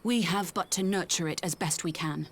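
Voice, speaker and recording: A woman voicing a gentle, solemn young seer in a video game, with measured, archaic phrasing. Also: on the low side, husky. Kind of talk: scripted video game cutscene acting.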